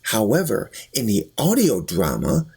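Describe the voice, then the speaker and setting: A man speaks in a husky voice with lively swings of pitch. He sounds like an earnest reviewer, laying out his commentary deliberately and explaining each point as he goes. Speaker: YouTube reviewer. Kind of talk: talk-to-camera review and commentary.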